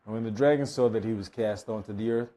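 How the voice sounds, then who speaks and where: A man's voice: fairly low pitch, fast, mellow. A man reads scripture aloud as the reader at a Bible study.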